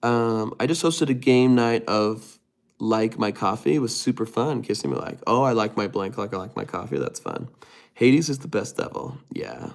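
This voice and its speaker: Low, gravelly-voiced man chatting on stream. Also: expressive, moderate pace. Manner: rambling, many fillers and restarts. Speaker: livestream host reading chat. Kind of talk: casual livestream chat.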